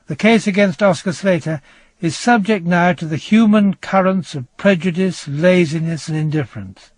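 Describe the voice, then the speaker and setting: A man narrating a true-crime radio drama in a grave, measured, literary style. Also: high-pitched, no echo. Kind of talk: scripted dramatic documentary narration.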